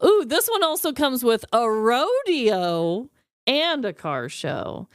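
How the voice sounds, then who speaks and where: A woman's voice: fairly high pitch, big pitch swings, slow, dry recording, clean studio sound. A woman co-hosting a podcast in casual comedic banter, playful and given to exaggerated exclamations. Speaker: podcast co-host.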